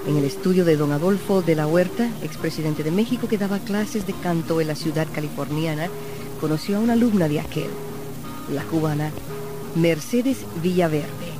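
A woman's voice: low-pitched, moderate pace, music in the background. Admiring woman hosting a radio interview. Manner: warm, admiring, enthusiastic. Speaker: radio show host.

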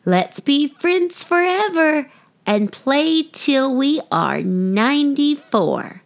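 A woman acts out a cheerful little storybook girl, full of affection. The voice sits high and swoops in an eager singsong, with words given plenty of room. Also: sing-song, slow, vocal fry, heavily acted. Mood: proud, singsong.

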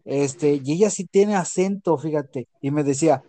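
Animated Mexican man with a mellow voice. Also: a little high, moderate pace, no echo. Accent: Mexican, Jalisco. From Jalisco, Mexico. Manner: animated storyteller who acts out quotes, with crude slang. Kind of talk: casual chat.

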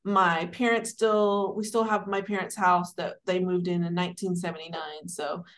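A woman in her forties from Dallas, telling her career story as an interview guest in a warm, rambling, conversational way. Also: lowish voice, steady tone, normal speed. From Dallas, Texas. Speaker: guest, city administrator.

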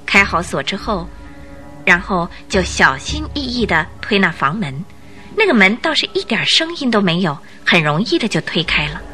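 A woman giving expressive, suspenseful scripted narration for a detective radio drama. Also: mid-range voice, normal speed, faint music behind.

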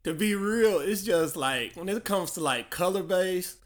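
A man's voice: sits high, lively intonation, unhurried. A man co-hosting a podcast, chatting casually in a plainspoken way. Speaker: podcast co-host. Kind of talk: casual banter.

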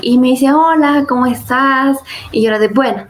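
Casting: young woman guest on a podcast, slightly high voice, chatty storyteller, faint noise behind. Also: normal speed. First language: Spanish. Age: young adult. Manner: chatty, many fillers and quoted asides. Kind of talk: casual interview storytelling.

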